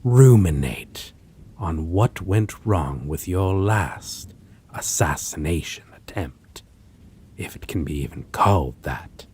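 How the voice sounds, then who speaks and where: Vampire lead, male actor: low, husky and very slow, with theatrical swings, possessive and condescending, for audio roleplay. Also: lively intonation, darkish tone, exaggerated voice. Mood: condescending, taunting. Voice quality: husky, breathy. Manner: slow, theatrical, archaic wording, condescending endearments. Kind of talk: dramatic audio roleplay acting addressed to listener.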